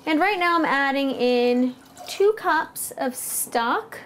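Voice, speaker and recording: An American woman in her thirties presents a recipe in a home kitchen. Her voice is high and moves melodically up and down as she explains each step. There is clear background noise behind her lapel-miked speech. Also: animated, takes it slowly, fairly bright tone. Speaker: cooking host, food blogger. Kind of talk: recipe demonstration to camera.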